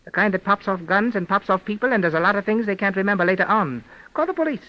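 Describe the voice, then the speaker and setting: Blunt, sardonic doctor, vintage radio mystery. High-pitched, wisecracking, lo-fi recording. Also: male voice, animated, fast talker. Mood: insistent, sardonic. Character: cynical, sardonic male doctor, detective's friend. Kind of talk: 1940s radio mystery acting.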